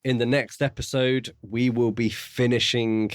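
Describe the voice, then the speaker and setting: A man co-hosting a podcast in a casual book discussion, talking in a measured, explanatory and courteous way. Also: medium pitch, little pitch movement, no room sound, clean studio sound. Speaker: podcast co-host.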